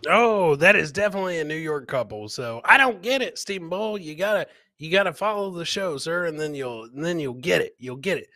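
A man's voice: fairly high pitch, expressive, fairly quick, hardly any pauses, pushed and bright, dry recording, clean studio sound. A middle-aged man chatting and joking as he hosts live reactions to viral clips, often repeating his phrases. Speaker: comedy host.

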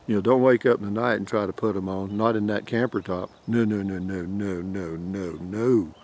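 A man's voice: on the low side, fairly even intonation, normal speed, darkish tone, slight background noise. An older man with a drawl, rambling folksy vlog chat outdoors into a handheld phone. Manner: rambling, folksy, chuckles often. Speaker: camping vlogger. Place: outdoors in a pine forest. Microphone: phone held at arm's length.

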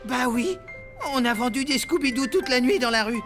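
A woman's voice: high-pitched, animated, normal speed. A woman playing an eager, naive biker kid in a cartoon. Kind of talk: cartoon voice acting. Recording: music behind.

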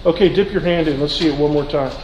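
A man's voice: on the high side, fast. A man teaching science through an informal demonstration, giving short instructions in a lecture hall. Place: large lecture auditorium. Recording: echoey lecture hall, some background noise.

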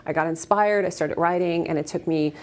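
A woman in her thirties from Afghanistan, with a notably low voice, speaks earnestly and fluently with soft ums. She is a guest on a TV studio set, talking into a lapel mic. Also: steady tone, fairly quick. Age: 30s. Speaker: actress guest. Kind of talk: morning TV interview.

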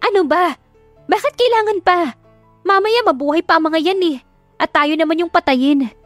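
Woman voicing a high-pitched, feisty, panicky young woman. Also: normal speed, dry recording, faint music behind. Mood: exasperated. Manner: stammering, exclamatory.

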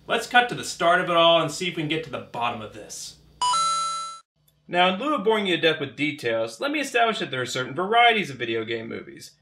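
A man's voice: on the high side, sing-song, fast, sharp tone. A young man delivering a scripted video essay in a wordy, articulate, opinionated way as host and critic. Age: young adult.

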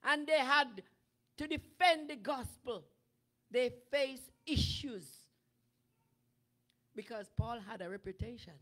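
A middle-aged woman preacher delivering a sermon from a church stage into a handheld microphone, rising from chatty storytelling to shouting. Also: high-pitched, slow, pauses often. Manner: animated preaching that builds to shouting. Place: church sanctuary with draped stage.

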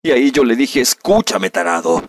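A man plays an aggrieved young man giving a press interview in a comedic amateur dub. His husky voice swings widely in pitch, melodramatic and indignant, in neutral Latin American Spanish. It sounds slightly away from the mic. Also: very animated, moderate pace, recorded from a distance. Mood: agitated recounting. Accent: Latin American neutral dubbing Spanish. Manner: melodramatic, indignant, dubbed-film diction. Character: aggrieved young man recounting a humiliation to reporters.

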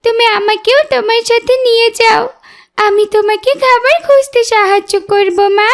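Very high-pitched childlike duckling. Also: female voice, fairly even intonation, moderate pace. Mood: pleading. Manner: pleading, pouty, childlike. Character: curious, headstrong little female duckling, restless and naive. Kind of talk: animated fairy-tale voice acting.

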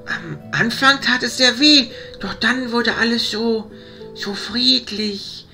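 A woman's voice: a little high, takes it slowly, sharp tone, faint music behind, exaggerated voice. A woman playing a brave but puzzled human male hero in a fantasy audio drama, speaking in an earnest, wondering way. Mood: puzzled, reflective.

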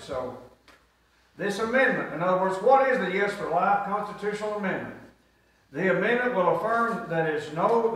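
Middle-aged preacher, high voice, calls for amens, captured far off in a super echoey church. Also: male voice, a bit bright. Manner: preaching with call-and-response. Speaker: pastor. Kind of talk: sermon. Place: small church sanctuary.

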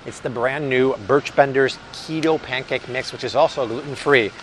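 Male vlog host, thirties, American, enthusiastic casual reviewer, recorded in a supermarket with clear background noise. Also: medium pitch, moderate pace, low-quality recording. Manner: upbeat, enthusiastic, chatty. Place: large supermarket aisle, indoors. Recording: clear store ambience and hum.

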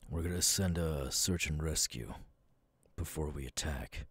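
A man voicing a stern, weary, guarded military commander in an ASMR audio roleplay, husky and brooding. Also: extremely low pitch, a little slow, fairly bright tone, no echo, full character voice. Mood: businesslike. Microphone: close, dry microphone.

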